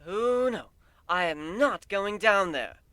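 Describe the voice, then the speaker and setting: A man acts out a haughty pony princess from a fantasy story. She protests in grand, old-fashioned phrasing, with a raised, bright, lively pitch. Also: slow, dry recording, full character voice. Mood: indignant. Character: indignant, haughty pony princess with archaic speech.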